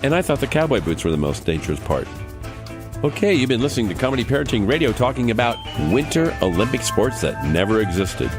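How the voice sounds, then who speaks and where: A man's voice: medium pitch, sing-song, fast, over music. A man hosting a radio comedy show with playful banter. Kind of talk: unscripted-sounding comedic banter.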